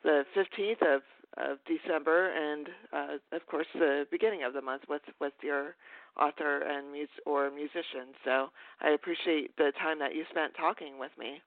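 Halting woman host, thin phone-like sound. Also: low-pitched, steady tone, no room sound. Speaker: podcast host. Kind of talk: conversational interview. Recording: phone-like radio sound, dry.

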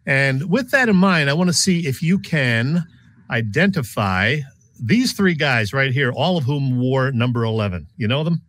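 A man's voice: medium pitch, lively intonation, normal speed, a bit bright. A man co-hosting a casual sports talk podcast.

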